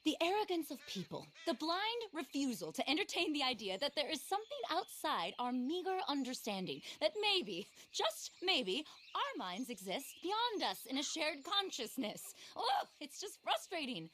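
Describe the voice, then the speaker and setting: A woman's voice: sits high, very animated, moderate pace, hardly any pauses, fairly bright tone, slight background noise. A woman acting an eccentric, passionate, animal-loving naturalist in a video game, theatrical, earnest and a little scattered. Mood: frustrated. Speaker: quest-giving wildlife specialist in a western game.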